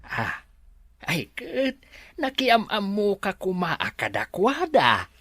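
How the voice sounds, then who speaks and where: A woman's voice: medium pitch, huge pitch swings, slow, a bit bright, slightly rough, no echo, clean studio sound, full character voice. A woman with an Ilocano accent playing an agreeable companion to a resort boss in a radio drama. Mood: assuring.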